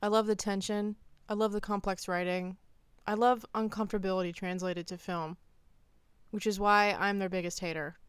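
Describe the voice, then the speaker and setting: A woman video essayist with a clean, smooth voice and a very dry recording free of room sound. She reads scripted opinion commentary in a deadpan way, leaning firmly on her key phrases. Her pitch sits around the middle for a woman. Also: normal speed, dry recording.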